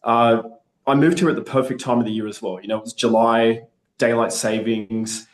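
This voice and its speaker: A man's voice: medium pitch, fairly even intonation, moderate pace, roomy sound, poor audio. A man from Australia with an Australian accent, chatting upbeat and optimistic as a guest in an interview. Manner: upbeat, chatty, frequent restarts. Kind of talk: casual interview.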